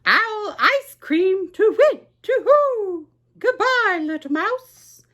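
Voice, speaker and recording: A woman voicing a hooting owl in a picture book read aloud, with a high, sing-song delivery. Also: huge pitch swings, takes it slowly, exaggerated voice. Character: hooting wise old owl.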